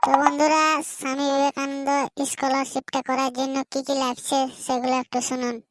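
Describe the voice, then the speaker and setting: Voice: high voice, level tone, brisk, over loud music, lightly acted. A performer voicing an eager, chatty village student boy in a comedy cartoon, with a rural Purulia Bengali accent and colloquial banter. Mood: explaining.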